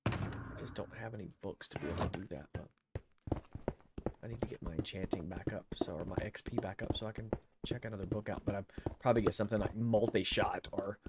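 An older man with a head cold talks casually from a home room through a headset mic, his voice sitting low. He rambles and thinks aloud, counting under his breath, while loud noise runs steadily underneath. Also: steady tone, fairly quick. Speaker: streamer. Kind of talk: casual gameplay commentary.

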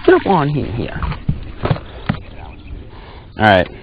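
Young man fishing from a boat, playful teasing, animated pitch, dull camera audio with faint hiss. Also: slow. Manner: teasing, keeps score aloud. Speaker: fishing vlogger. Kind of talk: casual play-by-play while fishing. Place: small boat on a lake outdoors. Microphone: action camera on a chest harness.

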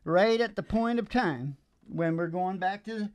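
A man's voice: high-pitched, unhurried, a little mellow, clean tone. A man in his sixties chatting casually between songs at a live show, rambling and teasing, often trailing off mid-thought. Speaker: singer-guitarist hosting a live show. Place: small indoor stage with curtain. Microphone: vocal mic on a stand. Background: acoustic guitar.

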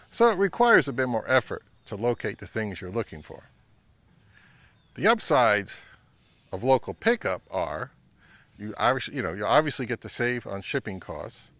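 A middle-aged man hosts an instructional vlog outdoors, speaking to a camera a short distance away. His delivery is measured and explanatory, with a mid-range voice that moves up and down in an animated way. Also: mid-range voice, no echo. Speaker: vintage audio channel host. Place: outdoors by a pond and on a wooded trail.

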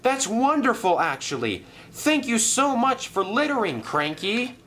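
Excitable young engine, high and bright, lively swings; a man voices it. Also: a little slow, slight background noise. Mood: excited. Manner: excitable, emphatic. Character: eager young male tank engine.

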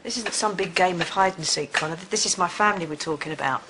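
A woman's voice: lowish voice, fast talker, natural voice. An actress with a British accent playing a guarded, worried middle-aged mother in a naturalistic TV soap. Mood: stern, uneasy. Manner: hesitant, stumbling. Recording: clear background noise.

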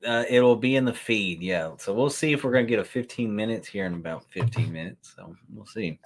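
Relaxed man chatting on a stream. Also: fairly low pitch, a little fast. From Kentucky. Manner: relaxed, chatty, answers chat questions on the fly. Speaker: sneaker livestream host. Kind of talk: casual livestream chat reading viewer comments. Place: room with shelves of sneakers, indoors.

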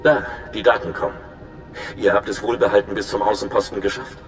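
A man's voice: on the low side, fairly even intonation, a little fast, reverberant, soft background music, lightly acted. An actor playing an earnest, conscientious male undercover agent in a video game, speaking in a confiding way. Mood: calm, confiding. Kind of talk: dramatic video game acting.

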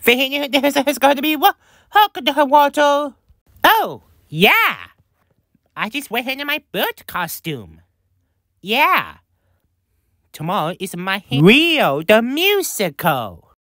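A woman improvising character lines for a fan video in a halting, repetitive way, stumbling over her words. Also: high-pitched, sing-song, a bit bright, no echo, clean studio sound. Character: excitable woman in a bird costume.

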